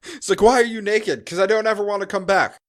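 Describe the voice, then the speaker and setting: A man's voice: high voice, fast. An American man co-hosting a podcast, talking casually about a film in a blunt, joking, sweary way. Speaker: podcast co-host. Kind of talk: casual film review chat.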